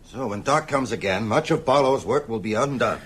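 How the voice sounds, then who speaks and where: Man playing a husky, solemn old mentor. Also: mid-range voice, a little fast, close to an everyday voice. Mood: grave, urgent. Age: older. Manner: urgent, solemn instructing. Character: grave, ailing old male vampire-hunting mentor. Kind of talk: radio drama acting.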